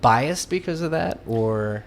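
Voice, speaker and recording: A man's voice: mid-range voice, animated, slow pace, vocal fry, a little hiss or hum. A man in his twenties hosting a podcast interview, talking loosely and rambling, with lots of "like". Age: 20s. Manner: loose, rambling, heavy use of "like". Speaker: podcast host. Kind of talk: casual conversational interview.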